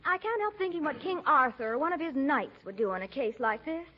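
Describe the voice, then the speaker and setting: A woman's voice: sits high, animated, fairly quick. An actress playing a sweet, romantic young niece, girlish and dramatic, in a 1940s radio comedy. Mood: wistful. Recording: narrow-band old radio recording, faint hiss.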